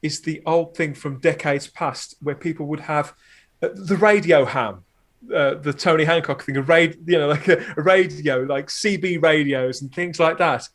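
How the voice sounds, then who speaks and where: A man with a slightly higher-pitched voice talks casually on a podcast. He is chatty and rambles along in a jokey, informal way. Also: moderate pace. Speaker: podcaster, guest on the show.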